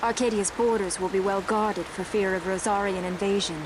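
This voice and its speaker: An actress playing a proud, resolute young princess in a game cutscene, speaking formally and regally. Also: female voice, mid-range voice, steady tone, normal speed, noisy background, lightly acted. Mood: solemn resolve. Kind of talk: game cutscene drama acting. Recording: game music behind the voice.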